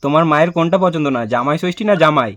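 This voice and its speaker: A man's voice: fairly high pitch, fast, a bit bright, highs cut off. A young man making a prank call, chatting casually in a playful, persistent, pleading way. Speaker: prank caller. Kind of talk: casual teasing phone chat.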